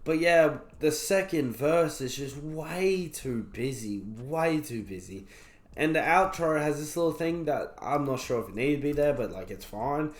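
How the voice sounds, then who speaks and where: A young man with a croaky voice, recovering from being sick, casually reviewing an album and rambling with lots of fillers. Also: on the high side, expressive, a little slow. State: croaky voice after illness. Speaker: music reviewer. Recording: roomy echo.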